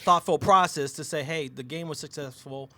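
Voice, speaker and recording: A man's voice: on the high side, moderate pace, a crowd in the background. A man in casual podcast conversation as one of the co-hosts. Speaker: podcast co-host. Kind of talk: casual chat.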